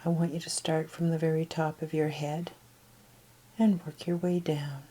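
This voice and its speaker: A woman leading a guided meditation in a gentle, soft, breathy voice that often trails toward a whisper, with unhurried pauses. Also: low voice, level tone. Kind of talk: guided meditation, soothing instruction.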